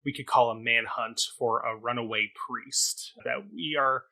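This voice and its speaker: A man's voice: mid-range voice, fairly even intonation, measured pace, creaky, bright, forceful tone. A man with a Canadian accent chatting casually as a reviewer, rambling, hesitant and a little self-deprecating. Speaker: co-host and reviewer. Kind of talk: casual chat, improvised synopsis.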